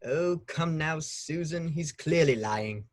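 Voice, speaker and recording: Pompous wizarding minister played by a man, blustery, scoffing, highish and very animated, table-read courtroom drama. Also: takes it slowly. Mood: scornful. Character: blustering, pompous, dismissive government minister presiding at trial. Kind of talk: amateur online table read of a screenplay.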